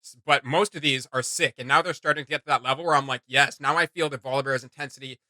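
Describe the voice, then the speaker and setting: Very bright-voiced man giving reaction commentary. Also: medium pitch, fairly even intonation, quick pace, dry recording. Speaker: reaction video host.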